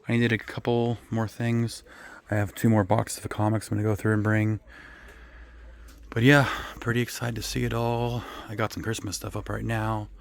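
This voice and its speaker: Hoarse, low-voiced man chatting casually. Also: little pitch movement, moderate pace. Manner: rambling, lots of ums and self-corrections. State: hoarse, raspy voice. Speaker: reseller vlogger. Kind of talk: casual vlog chat. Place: his resale booth and home workspace.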